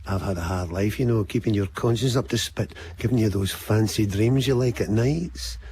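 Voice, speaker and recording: A man's voice: on the low side, moderate pace, dark tone, a little hiss or hum, lightly acted. An actor playing a sardonic, cocky older Scottish man who is someone's unconscious mind, bantering sarcastically in a radio drama. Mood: teasing, wry.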